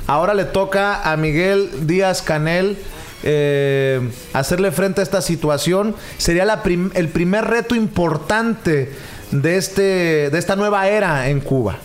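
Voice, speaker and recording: A man hosting a political talk show, asking questions in a deliberate, hesitant way. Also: sits high. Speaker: show host.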